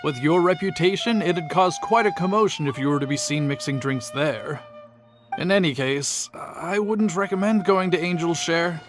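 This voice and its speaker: An actor playing a polite, friendly, modest gentleman winemaker in a video game, speaking in a courteous, earnest, slightly hesitant way. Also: fairly high pitch, normal speed, close to an everyday voice. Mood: tactful, cautious. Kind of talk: scripted video game character acting. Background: background music.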